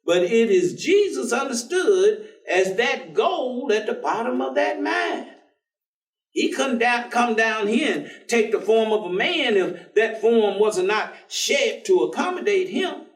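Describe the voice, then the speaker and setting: Devout, stubborn, teasing folksy man, played by a male stage actor; high voice with big swings, heard across a roomy theatre. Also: animated. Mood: earnest, preaching. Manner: folksy, colloquial, drawn-out delivery. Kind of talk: staged reading of a play. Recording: roomy theatre, distant microphone.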